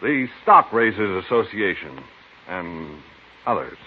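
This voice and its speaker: An actor playing a smug, officious American cattlemen's agent in an old radio western, insistent and self-righteous. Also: male voice, low voice, sing-song, slow pace, heavily acted. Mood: righteous, urgent. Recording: narrow, muffled, hissy old radio recording.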